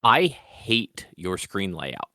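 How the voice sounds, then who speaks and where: A man's voice: sits low, sing-song, drawn-out words, pushed and bright, no room sound, clean studio sound. A man hosting a podcast in casual chat, teasing and opinionated. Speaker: podcast host.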